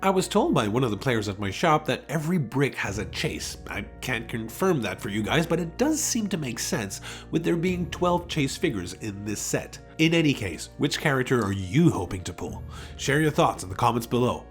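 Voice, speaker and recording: Man with lively pitch, enthusiastic hobby host. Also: normal speed. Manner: enthusiastic, explanatory. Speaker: tabletop game unboxing host. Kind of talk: upbeat hobby review narration. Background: background music.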